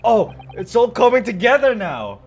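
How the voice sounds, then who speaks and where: Male game streamer, casual and slangy, excitable delivery, faint music underneath. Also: very high voice, normal speed. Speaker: streamer playing a visual novel. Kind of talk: casual gaming commentary.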